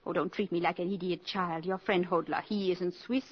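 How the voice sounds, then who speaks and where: An actress playing a shrewd, imperious countess in a 1950s radio drama, poised, teasing and commanding. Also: female voice, lowish voice, fairly even intonation, brisk, husky. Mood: sharp concern. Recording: dull 1950s radio broadcast recording.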